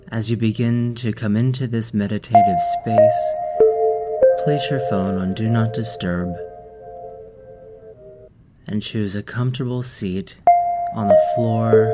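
Male meditation guide, very slow, soothing, low voice, music underneath. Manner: slow, soft, soothing delivery with long pauses.

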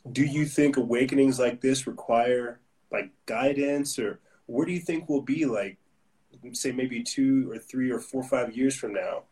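A man's voice: mid-range voice, measured pace, darkish tone, distant mic, rough recording. A man hosting a casual interview, talking in a rambling, upbeat way and often restarting his sentences. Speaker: host.

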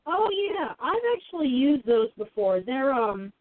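A woman with a slightly high voice chats along as co-host, explaining things in a hesitant way full of fillers. Her voice is thin and phone-like. It sounds close and dry. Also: big pitch swings, dry recording. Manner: halting, filler-heavy explaining. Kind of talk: casual chat. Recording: tinny phone-like line, dry.

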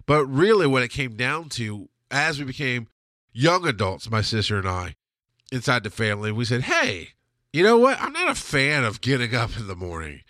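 A man's voice: medium pitch, big pitch swings, moderate pace, sharp tone, no echo, clean studio sound. Stuffy-nosed man rambling on a podcast. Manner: rambling, profane, laughs often. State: stuffy nose. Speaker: podcast host. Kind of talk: casual storytelling monologue. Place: home studio.

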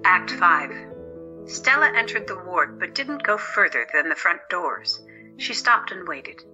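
Dull-sounding, bright-voiced woman narrator over music. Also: fairly low pitch, expressive, measured pace. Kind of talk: scripted story narration.

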